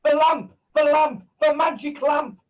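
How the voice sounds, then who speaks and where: A man's voice: very high-pitched, steady tone, a little slow. A man playing a scheming, bullying sorcerer in a pantomime, commanding and melodramatic. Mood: urgent, demanding. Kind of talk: pantomime villain acting.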